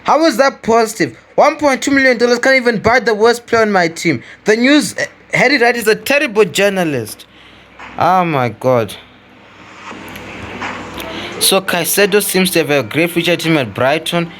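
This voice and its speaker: A man's voice: high voice, expressive, normal speed, fairly bright tone. A man hosting a gaming video, giving casual gameplay commentary as he thinks aloud, repeating phrases and addressing viewers. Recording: clearly noisy home recording.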